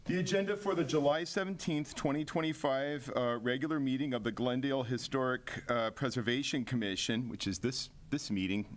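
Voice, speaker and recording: A man's voice: mid-range voice, brisk, few pauses. A man chairing a city commission meeting, reading agenda items aloud with frequent filler words.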